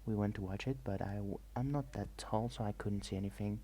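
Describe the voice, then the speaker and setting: Soft-spoken man with a gravelly voice. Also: fairly low pitch, a little fast. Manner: rambling, hesitant, mixes soft talk with whispers. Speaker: ASMR vlogger. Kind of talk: soft-spoken travel vlog narration. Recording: faint ambient noise.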